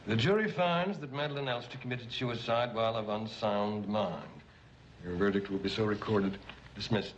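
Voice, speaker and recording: An actor in 1950s Hollywood film style, playing a formal coroner who speaks in a measured, judgmental manner. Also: male voice, on the low side, close to an everyday voice. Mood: officious. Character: stern, formal coroner addressing a jury. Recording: dull old film soundtrack with noise.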